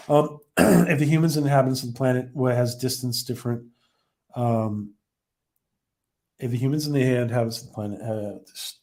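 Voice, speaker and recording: A man's voice: mid-range voice, a little mellow. Rambling man with a fading voice. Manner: rambling, halting, many fillers and restarts. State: losing his voice. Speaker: live-stream host reviewing a TV episode. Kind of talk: casual live-stream chat reading viewer comments.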